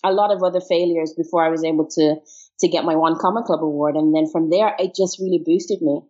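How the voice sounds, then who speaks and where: Dull-sounding recording of an expressive Caribbean-raised woman. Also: sits low, fast. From Jamaica and the wider Caribbean. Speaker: interview guest, marketing consultant. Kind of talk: animated casual personal storytelling. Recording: dull, band-limited lo-fi sound.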